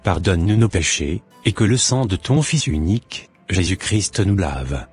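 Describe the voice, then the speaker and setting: Casting: male actor as devout, forgiving cartoon husband. Low-pitched, earnest, measured delivery, light music underneath. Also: sing-song, slightly rough, heavily acted. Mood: solemn, prayerful.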